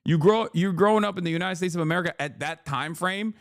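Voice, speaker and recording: A man in his thirties from Turkey, streaming and riffing on chat messages in a loud, joking, slangy way with plenty of swearing. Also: high-pitched, quick pace, no echo, studio quality. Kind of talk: casual livestream chat.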